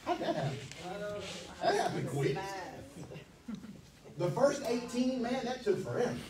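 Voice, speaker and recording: A man in his forties preaching a sermon as pastor, emphatic and animated, speaking into a handheld mic in a church hall. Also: very high voice, measured pace, crowd noise. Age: 40s. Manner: animated, emphatic, pacing the stage. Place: church hall, medium-sized, indoors. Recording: echoey hall, from far off.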